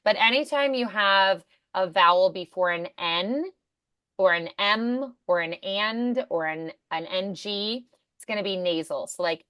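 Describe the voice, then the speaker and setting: A woman coaching in a conversational one-to-one lesson, encouraging and pausing to demonstrate sounds. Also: medium pitch, fairly bright tone, clean tone, dry recording, dull audio. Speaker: voice acting coach.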